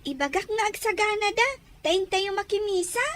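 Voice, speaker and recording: A woman's voice: very high voice, expressive, moderate pace, a bit bright, a little hiss or hum. A woman playing a sweet, grateful, deferential young woman in an audio drama. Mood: grateful, gentle. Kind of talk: Ilocano audio drama acting.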